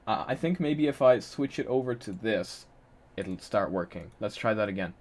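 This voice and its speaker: A man's voice: fairly low pitch, expressive, moderate pace. Chatty Polish-born man streaming live. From Poland. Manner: chatty, laughs often, reads chat aloud. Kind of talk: casual live-stream chat.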